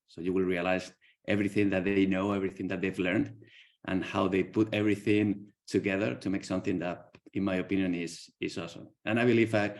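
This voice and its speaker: A man's voice: on the low side, creaky. A man, a professor, hosts an informal online conversation, hesitant and repeating words as he praises his students. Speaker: professor hosting student presentations.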